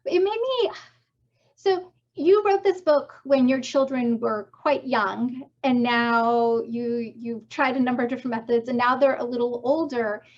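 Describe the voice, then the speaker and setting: A woman's voice: a little high, a little slow. A woman interviewing an author, asking questions in a friendly, playful way. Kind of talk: conversational interview. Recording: dull lo-fi audio.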